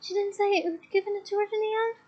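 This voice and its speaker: A sensible teenage girl speaking softly and calmly to comfort someone, as a woman reader voices her. The voice is high, and the recording slightly muffled. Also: slow pace. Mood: gentle.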